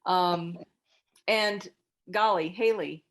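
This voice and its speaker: A woman hosting a table read, giving informal, tactful feedback to the group in a halting, encouraging way. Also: fairly low pitch.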